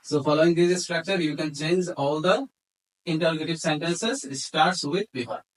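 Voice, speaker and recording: A man's voice: fairly high pitch, steady tone, drawn-out words, low-quality recording. A man with a Bangladeshi accent teaching step by step, mixing Bengali with English terms and dictating piece by piece. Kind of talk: instructional lesson, explaining step by step.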